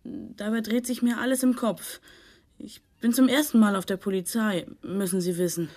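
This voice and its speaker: A woman's voice: medium pitch, normal speed, dark tone, close to an everyday voice. A woman playing a timid, naive young male witness in a radio crime drama, hesitant and deferential. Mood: naive, flustered.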